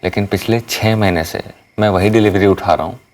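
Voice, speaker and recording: An actor playing a lovesick truck driver in a TV crime drama, earnest, blunt and insistently pleading. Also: male voice, fairly low pitch, fairly even intonation, brisk, a little mellow, far from the mic, natural voice. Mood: earnest, probing.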